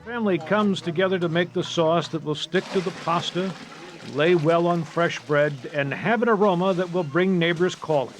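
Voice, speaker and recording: Folksy male host with a higher-pitched voice. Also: soft background music. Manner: folksy, reflective storytelling with deliberate phrasing. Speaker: TV show host. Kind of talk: scripted feature narration.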